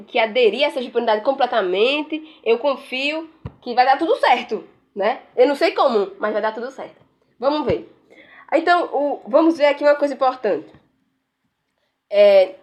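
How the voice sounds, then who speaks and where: A woman giving casual political commentary while browsing pages on her screen, sarcastic and rambling, tagging sentences with questions. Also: on the high side. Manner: frequent "né?" tags, rambling, sarcastic.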